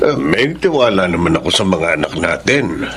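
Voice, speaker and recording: A middle-aged family man with a low voice in radio drama style. He is a plain-spoken, burdened father, heard over faint noise. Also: big pitch swings, normal speed, creaky, fairly bright tone, heavily acted. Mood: matter-of-fact. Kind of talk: Filipino radio drama acting.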